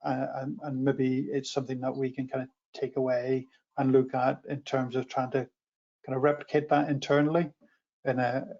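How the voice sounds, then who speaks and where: A man's voice: medium pitch, little pitch movement, moderate pace, a little mellow. A man, a council officer answering questions on a remote meeting call, speaking hesitantly with many ums and restarts. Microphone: video call. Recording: dull lo-fi remote call audio.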